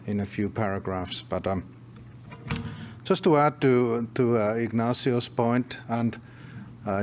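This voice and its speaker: A man's voice: mid-range voice, slight background noise. A man with a voice giving earnest closing remarks at a company investor presentation, restarting his phrases often. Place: conference room.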